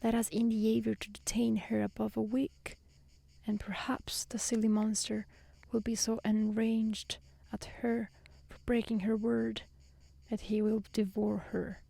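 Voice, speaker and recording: A woman voicing an envious, spiteful young elder sister in a hushed, soft-spoken fairy-tale reading. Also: a little high, flat delivery, measured pace, creaky, dry recording. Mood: envious, scheming.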